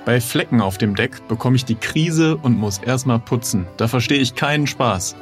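A man's voice: mid-range voice, normal speed, faint music behind. A man taking part as a player in casual improvised role-play, thoughtfully feeling his way through ideas. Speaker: player in an adventure game.

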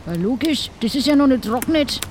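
A woman's voice: high-pitched, expressive, a little fast, a little mellow. Easygoing, colloquial castaway pirate played by a woman, southern German accent, chatty, audio adventure, slight background noise. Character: easygoing, chatty, good-natured shipwrecked pirate. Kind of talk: improvised audio adventure play.

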